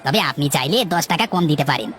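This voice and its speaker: A man's voice: a little high, expressive, fast talker, a bit bright, a faint crowd, a little hiss or hum. A man playing a polite, eager village fruit seller in a cartoon, with a deferential salesman's patter. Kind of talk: acted dialogue in a moral-story cartoon.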